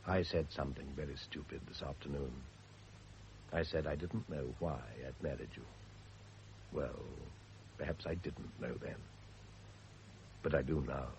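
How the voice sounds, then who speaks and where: An actor playing a sardonic, world-weary husband in a radio drama, dry and articulate. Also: male voice, extremely low pitch, steady tone, unhurried, creaky, long pauses, darkish tone, exaggerated voice, low-quality recording. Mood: wry, fond. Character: sardonic, world-weary husband under suspicion, quick to anger. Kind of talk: period radio drama acting. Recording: hissy old radio drama recording.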